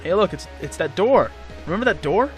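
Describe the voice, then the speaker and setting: A man's voice: high-pitched, lively intonation, a little fast. A man giving casual, slangy, playfully sarcastic gameplay commentary. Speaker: let's play host playing the game. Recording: game music behind the voice. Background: video game music.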